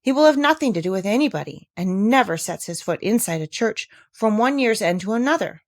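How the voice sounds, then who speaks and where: A woman's voice: medium pitch, lively intonation, a little fast, dry recording, clean studio sound, lightly acted. A woman voicing a talkative, inquisitive village woman from a storybook, speaking in an emphatic, gossipy way. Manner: exclamatory, emphatic, gossipy questioning. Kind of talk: storybook character reading.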